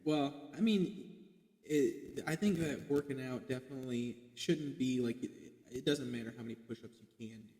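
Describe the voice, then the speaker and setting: A young man in his twenties talks loosely on a podcast, wandering through his thoughts with lots of "like" fillers and casual swearing. His voice sits at an ordinary pitch. The room is echoey, with a long hanging reverb. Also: moderate pace, warm tone. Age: 20s. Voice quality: self-described light boyish voice. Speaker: podcast guest. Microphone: podcast mic.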